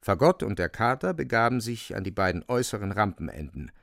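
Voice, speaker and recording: A man's voice: lowish voice, very animated, brisk, gravelly, a bit bright, no echo, clean studio sound. A man narrating a literary radio drama in a dramatic storytelling style.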